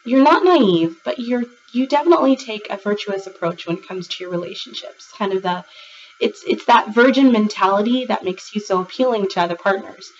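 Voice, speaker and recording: A woman giving a rambling, chatty tarot reading to her viewers, a little raspy and congested from a cold, with many fillers and restarts. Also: medium pitch, expressive, brisk, far from the mic, lo-fi sound, slight background noise. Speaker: tarot reader. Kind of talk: casual monthly tarot reading to camera.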